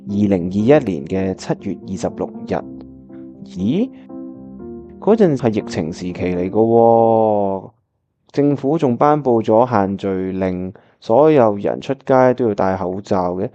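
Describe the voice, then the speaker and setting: A man's voice: lowish voice, dark tone. An actor with a Hong Kong Cantonese accent playing a lovestruck, scheming young man who narrates a radio drama in colloquial, earnest style over music. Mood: puzzled, then realising. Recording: dull lo-fi audio with music behind.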